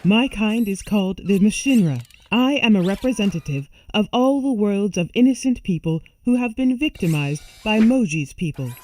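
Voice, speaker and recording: Woman as a calm, formal alien machine being. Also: medium pitch, close to an everyday voice. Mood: calm, grave. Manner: formal, measured, precise. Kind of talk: sci-fi audio drama acting.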